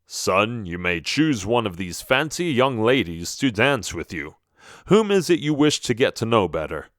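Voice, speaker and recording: A man's voice: lowish voice, very animated, a little slow, a bit bright, dry recording, studio quality. A man voicing a commanding royal father in a storybook, firm and authoritative. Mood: formal. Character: stern, commanding middle-aged king and father.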